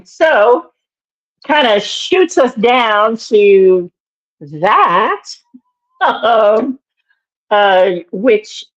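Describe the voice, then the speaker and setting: This woman in her sixties has a naturally raspy voice, roughened further by allergies that keep her clearing her throat. She speaks at a middle pitch and meanders, pausing and restarting as she recalls things. The recording sounds dull and lo-fi. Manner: meandering, halting, with many fillers and restarts. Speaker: guest, minister and author. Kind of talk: reflective interview storytelling.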